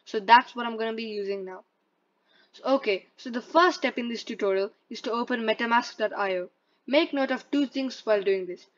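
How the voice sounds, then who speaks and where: A woman presenting a step-by-step tutorial in a plain, instructional way, reading from a script. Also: a little high. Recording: dull lo-fi voice-over.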